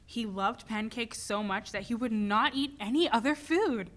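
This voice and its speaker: A woman's voice: fairly high pitch, moderate pace, a bit bright, lightly acted. A woman playing a stressed, driven mother and restaurant owner in a dramatic play reading. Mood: storytelling.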